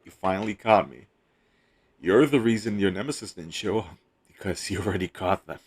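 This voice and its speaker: A man's voice: low-pitched, normal speed, husky. A man playing a captured superhero posing as a hostage in an intimate audio roleplay, wry, teasing and flirtatious. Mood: smug, playful.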